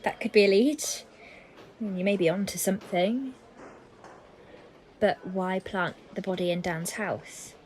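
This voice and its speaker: A woman's voice: medium pitch, measured pace, long pauses, darkish tone, a little hiss or hum, lightly acted, rough recording. A woman playing a formal, probing, authoritative detective inspector in an audio drama. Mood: measured. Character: stern, probing female police detective inspector leading interrogation.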